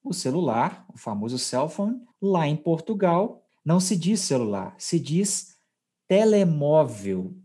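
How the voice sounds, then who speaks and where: A man's voice: a little high, sing-song, a little slow, warm tone, breathy edge. A Brazilian man hosting a podcast language lesson, explaining conversationally and checking in with his co-host. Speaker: podcast host teaching Portuguese.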